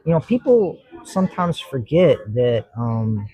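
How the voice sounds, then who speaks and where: Man, Kentucky accent, middle-aged, earnest, halting speech. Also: medium pitch, very animated, mellow, slightly rough, no echo, dull audio. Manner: earnest, halting, many fillers and restarts. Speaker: judge, podcast guest. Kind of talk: casual interview talk.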